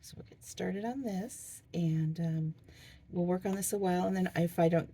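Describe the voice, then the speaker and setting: A middle-aged woman with a hoarse voice, chatting casually and thinking aloud as she works on a diamond painting. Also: fairly low pitch, steady tone, normal speed, mellow. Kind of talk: casual crafting vlog chat.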